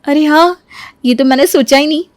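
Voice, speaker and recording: A woman's voice: high voice, moderate pace, dry recording, pitched above the performer's own voice, lightly acted. A woman voicing a sweet, cheerful and dutiful young bride in acted story dialogue. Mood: eager, cheerful.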